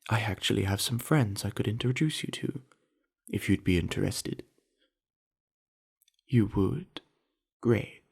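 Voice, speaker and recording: Man playing a deep, gravelly young orc jock. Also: unhurried, pauses often, a little mellow, exaggerated voice. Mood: friendly, inviting. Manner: laid-back, easygoing himbo with casual slang. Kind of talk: ASMR roleplay acting, addressed to the listener.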